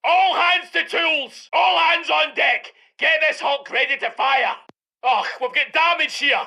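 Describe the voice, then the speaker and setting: An actor playing a gruff British tank commander in a war video game, barking short military orders in a forceful, gravelly voice. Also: male voice, extremely high pitch, a little slow, full character voice. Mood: commanding. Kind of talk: shouted military game barks.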